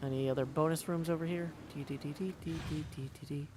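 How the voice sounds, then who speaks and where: A man's voice: on the high side, fairly even intonation, quick pace, noisy background. A man giving casual live gameplay commentary as a streamer, chatty and thinking aloud, sometimes singing little tunes to himself. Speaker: video game streamer. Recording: game music behind the voice. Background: video game music.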